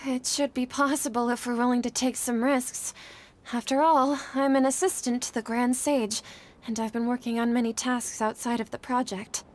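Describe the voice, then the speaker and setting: A woman voicing an anxious, high-voiced young female scholar. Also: level tone, moderate pace, a little hiss or hum, close to an everyday voice. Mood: earnest, resolved. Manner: earnest, troubled, formal wording. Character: anxious, guilt-ridden young woman scholar, assistant to a sage. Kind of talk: dramatic video game voice acting.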